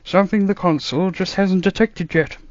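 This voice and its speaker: A man's voice: high-pitched, fairly even intonation, normal speed, darkish tone, no room sound, dull audio, voice pushed up, natural voice. A man voicing a fussy, worried elderly doctor who speaks to his granddaughter.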